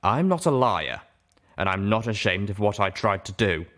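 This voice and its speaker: A man's voice: lowish voice, very animated, moderate pace, fairly bright tone. A proud unicorn stallion, played by a male narrator, firm and defiant. The shopkeeper talks with open scorn and no shame, in a storybook drama.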